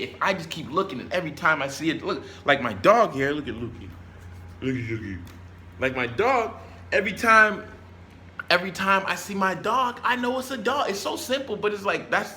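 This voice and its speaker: A man's voice: medium pitch, sharp tone. Emphatic man rambling motivationally, noisy background. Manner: emphatic, repeats phrases for stress; blunt, casual, with swearing. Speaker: self-help vlogger. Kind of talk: rambling motivational talk to camera.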